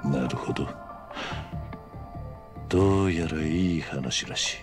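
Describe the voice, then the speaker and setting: A gruff, stoic former yakuza with a deep, low voice. He speaks tersely and guardedly, as a stubborn middle-aged game hero, with faint music behind him. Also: slow pace. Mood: dry, guarded. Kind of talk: dramatic video game cutscene acting.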